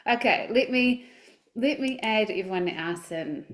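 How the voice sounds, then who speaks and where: A woman's voice: medium pitch, animated, unhurried, fairly bright tone. A woman in her forties, a life coach, talking casually and upbeat on a livestream, with lots of fillers and restarts. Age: 40s.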